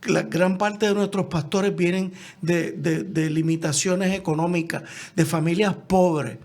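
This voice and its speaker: A man's voice: high-pitched, steady tone, unhurried, darkish tone. A man hosting an interview, summarizing his guest's points and adding brief affirmations. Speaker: host. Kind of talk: conversational interview.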